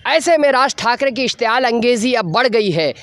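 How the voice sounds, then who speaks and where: A man giving a semi-improvised news report, speaking in a halting, repetitive way with filler phrases. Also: very high-pitched, lively intonation, a bit bright, dry recording.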